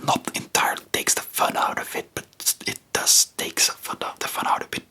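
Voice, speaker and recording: A man's voice: normal speed, sharp tone. Man whispering rambling ASMR chat. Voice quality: breathy unvoiced whisper. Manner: rambling, hesitant, spontaneous. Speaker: ASMR video host.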